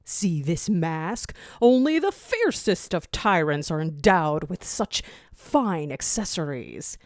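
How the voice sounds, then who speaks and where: A woman's voice: fairly low pitch, sing-song, slow pace, dry recording, studio quality. Woman as a pompous blustering jackal-wolf tyrant. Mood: haughty, threatening. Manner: pompous, threatening.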